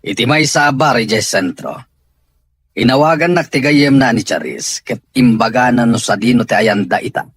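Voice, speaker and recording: A man's voice: medium pitch, normal speed, slightly rough. A man acting as a stern, indignant father in an amateur audio drama, scolding and insistent. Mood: urgent. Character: stern, indignant father determined to bring his daughter home.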